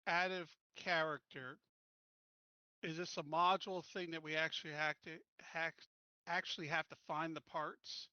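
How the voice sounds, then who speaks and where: Player, man, bright higher voice, laughs easily, dull voice-chat sound. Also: little pitch movement, normal speed, clear, resonant tone, no echo. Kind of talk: casual chat over voice call.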